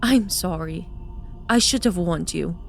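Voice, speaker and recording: A man's voice: sits high, a little slow, creaky, soft background music, voice pushed up. A male narrator voicing a composed, fond noblewoman who speaks in a soothing way in an audio drama. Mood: reassuring. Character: calm, fond scheming noblewoman.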